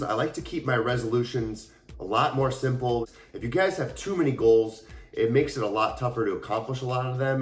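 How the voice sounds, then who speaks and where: A man's voice: medium pitch, roomy sound. A young adult man, a fitness coach, talking casually and earnestly to his viewers with a stuffy voice from a cold. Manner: earnest, explanatory, addresses viewers directly. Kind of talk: casual talk to camera.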